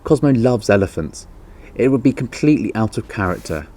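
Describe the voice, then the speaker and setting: A man's voice: fairly low pitch, lively intonation, brisk, warm tone, pitched above the performer's own voice. A man reading the part of a concerned mother in a children's storybook, firm and questioning. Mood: disbelieving. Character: concerned mother defending a family member.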